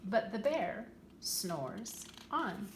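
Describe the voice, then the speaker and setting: Slow-reading woman telling a children's story. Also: mid-range voice, big pitch swings. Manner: slow, deliberate storybook delivery with dramatic emphasis. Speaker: preschool teacher reading to children. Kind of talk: children's story read-aloud. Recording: roomy echo, light background noise.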